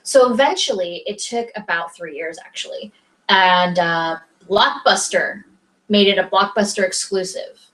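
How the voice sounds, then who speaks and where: A woman co-hosting a casual movie review chat, chatty and quick to jump in. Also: mid-range voice, fairly bright tone, poor audio. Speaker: podcast co-host.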